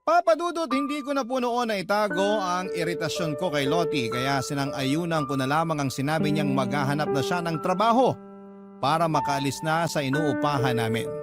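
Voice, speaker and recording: Higher-pitched male storyteller over loud music. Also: a little fast. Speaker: radio drama host and narrator. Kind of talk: dramatic first-person reading of a listener's letter. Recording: loud music bed under voice. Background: prominent instrumental music.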